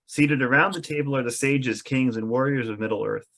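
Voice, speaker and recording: A man reading scripted stage directions for a fantasy screenplay as narrator, in measured storytelling style. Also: medium pitch, a bit bright.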